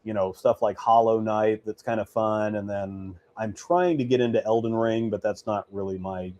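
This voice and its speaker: A man in his forties with a deep voice, chatting casually as a guest on a remote call, rambling and correcting himself as he goes. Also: normal speed, darkish tone, dull audio. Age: 40s. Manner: rambling, self-correcting, easygoing. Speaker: guest bowling ball reviewer. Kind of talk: casual chat.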